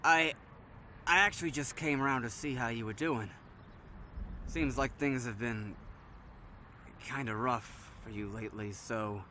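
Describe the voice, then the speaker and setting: A man's voice: mid-range voice, unhurried, long pauses, pushed and bright, slight background noise. A man playing a playful, teasing young electrician in an audio drama, upbeat, joking and friendly. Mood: concerned. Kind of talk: naturalistic audio drama acting.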